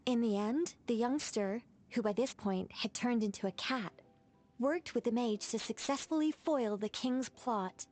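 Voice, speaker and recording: Female angel mage: higher-pitched, eloquent, whimsical game storyteller over soft music. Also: measured pace. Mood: storytelling. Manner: theatrical, eloquent. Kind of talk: video game storytelling dialogue.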